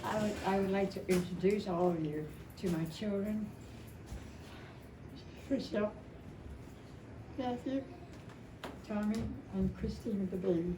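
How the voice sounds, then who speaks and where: A woman in her seventies giving an informal, rambling and emotional personal tribute at a memorial, telling stories in a halting way. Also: medium pitch. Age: 70s. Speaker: widow speaking at a memorial. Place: indoor family memorial gathering. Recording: echoey, distant mic, noisy gathering room.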